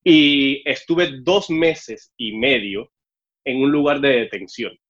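A man's voice: mid-range voice, slow pace, fairly bright tone. A young Cuban-born man with a Mexican Spanish accent, telling his story as an interview guest and acting out the dialogue. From Cuba. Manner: storytelling, acts out dialogue with raised voice. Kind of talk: casual interview chat. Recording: dull muffled audio.

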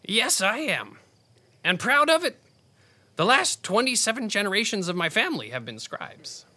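Grumpy, proud storybook rabbit: pedantic, forceful, very lively intonation. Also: male voice, high-pitched, normal speed, heavily acted. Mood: proud, huffy. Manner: fussy, pompous, huffy. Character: grumpy, proud male rabbit scribe, pedantic and easily offended.

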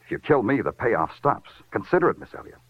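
An actor playing a sardonic, hard-boiled police lieutenant in a noir radio drama, terse and wry. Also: male voice, fairly low pitch, sing-song, quick pace, husky, no echo, lo-fi sound. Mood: tough, insistent. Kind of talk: 1940s radio noir acting. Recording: old band-limited radio recording with music.

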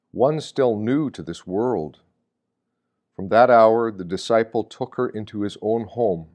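A man reading a scripted devotional reflection in a measured, reflective manner, often with rhetorical weight. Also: on the low side, darkish tone. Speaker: reader of Lenten meditations.

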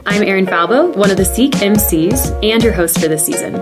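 A woman's voice: mid-range voice, animated, fairly quick. A woman reading a scripted, welcoming podcast introduction as host. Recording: music bed behind voice.

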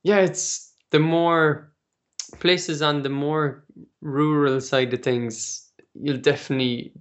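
An Irish man hosting a podcast, asking questions in a relaxed, unhurried way with frequent hesitations. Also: a little high. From Ireland. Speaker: podcast host. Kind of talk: casual interview chat.

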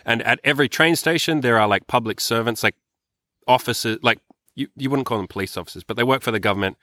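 A man's voice: medium pitch, quick pace, bright, forceful tone, dry recording, studio quality. A man with an Australian accent hosting a podcast, chatting casually with a loose, sweary, filler-heavy way of talking. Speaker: podcast host. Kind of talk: casual chat.

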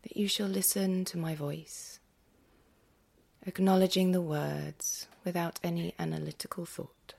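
A woman guiding a yoga nidra meditation in a hushed, soothing way, gently and unhurriedly, with a breathy, husky voice that often nears a whisper. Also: fairly low pitch, steady tone. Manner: gentle, unhurried, with long pauses.

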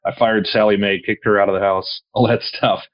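A man's voice: on the low side, level tone, a little fast. Rambling man in his forties, muffled sound. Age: 40s. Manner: rambling, many restarts and fillers. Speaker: podcast guest. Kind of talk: casual conversation. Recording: dull lo-fi sound.

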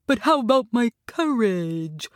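A woman's voice: mid-range voice, big pitch swings, takes it slowly, warm tone, no echo, studio quality. A woman voicing an anxious male lion who puffs himself up as king of the forest.

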